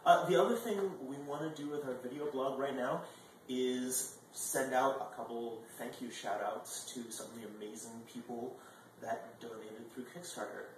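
A man's voice: medium pitch, normal speed. Young man in a very echoey room. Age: 20s. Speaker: video blog host. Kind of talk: casual chat to camera. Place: indoor dining room at a table. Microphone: far camera microphone. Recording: echoey room, distant mic, faint noise.

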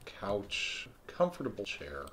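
A man streaming a video game live, thinking aloud in a relaxed way with frequent ums. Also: on the low side, takes it slowly. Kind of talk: live let's-play commentary.

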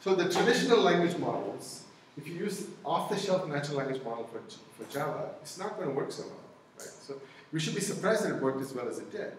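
A middle-aged man whose native tongue is Tamil lectures in a relaxed, chatty way, peppering his points with "you know" and "okay?". His voice sits a bit high. He is captured from a distance in a booming, echoey lecture room with faint noise. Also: fast talker, hardly any pauses. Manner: conversational, many "you know" and "okay?" check-ins. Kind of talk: university lecture with audience discussion. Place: lecture hall with blackboard and projector. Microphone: far room camera. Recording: echoey lecture hall, picked up from afar.

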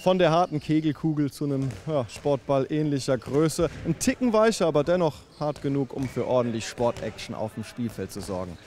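A man in his thirties presenting to camera with a handheld mic in an indoor sports venue, lively and playful. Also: fairly high pitch, a little fast, few pauses, noisy background. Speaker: TV sports reporter. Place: indoor bowling alley and sports hall. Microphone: handheld microphone.

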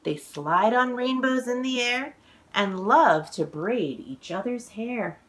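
A woman in her forties reading a children's picture book aloud, expressive and exclaiming, drawing out words for young listeners. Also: fairly high pitch, slow pace, slight background noise. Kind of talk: animated children's read-aloud storytelling. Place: living room at home, indoors.

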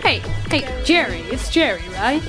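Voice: high voice, sing-song, over music. An actor playing a cocky twelve-year-old boy who needles people in a pushy, smug way in an audio drama. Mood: brisk, pushy. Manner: pushy, smug, rapid-fire interrogation.

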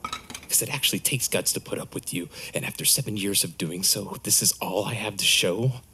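An actor playing a resentful, intense husband sliding toward madness in a horror drama. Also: male voice, lowish voice, normal speed, background noise, close to an everyday voice. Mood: seething, unhinged. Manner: intense, embittered. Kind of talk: scripted audio horror drama.

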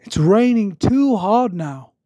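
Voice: mid-range voice, lively intonation, slow, dark tone, no room sound, studio quality, voice pushed up. A narrator voicing a cartoon father pig who calls out and reassures in a storybook reading. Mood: calling out. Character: cheerful cartoon father pig calling out to children.

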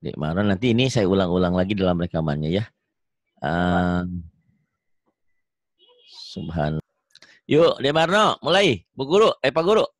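A man with a Sundanese accent teaching Quran reading over a video call, drawing out syllables slowly, correcting and praising a student. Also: low-pitched, very animated, no room sound. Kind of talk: online reading lesson.

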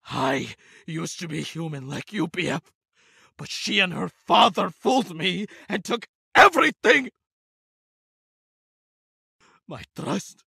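An actor playing a bitter, vengeful horned undead monster in a game mod cutscene, with a harsh gravelly rasp and a dramatic, menacing delivery. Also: medium pitch, no echo, clean studio sound, full character voice. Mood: anguished, betrayed. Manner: dramatic, swinging from growled menace to shouting.

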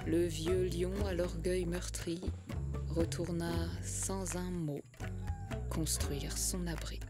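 A woman narrating an animated short as a poetic storybook tale, in a solemn, lyrical and measured way. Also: on the low side. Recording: music under the voice. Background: music.

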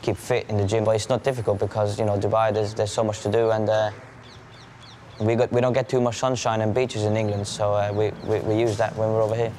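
A young man from Norway, a jockey, giving relaxed, measured interview answers. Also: on the low side, a little hiss or hum.

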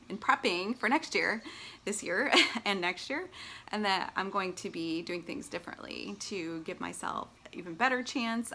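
A middle-aged woman speaks to camera in a casual, upbeat way, like a vlogger sharing an announcement. Her pitch is average for a woman. It is recorded in a living room at home, with slight noise behind her. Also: bright, forceful tone. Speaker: vlogger and long-distance hiker. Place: small furnished living room at home, indoors.

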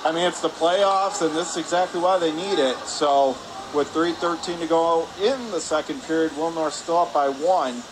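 A man's voice: a little high, moderate pace. A man calling live hockey play-by-play for radio from an indoor rink. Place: spectator area of an indoor ice rink. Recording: noisy arena hum behind the voice.

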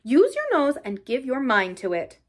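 A hearty gentleman rat as a woman storyteller plays him. The voice is high, with a chummy, old-fashioned manner. He comes across as a kindly, loyal friend from a storybook. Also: huge pitch swings, normal speed, full character voice. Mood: hearty, brisk. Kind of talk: storybook character acting.

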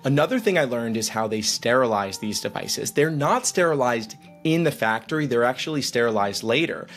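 An upbeat man in his thirties walks through how a gadget works, explaining each part clearly. He adds the odd light joke. He speaks close to a boom-arm mic in a small studio room with faint music underneath. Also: lively intonation, moderate pace, fairly bright tone. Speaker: vlog host. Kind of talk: explainer narration.

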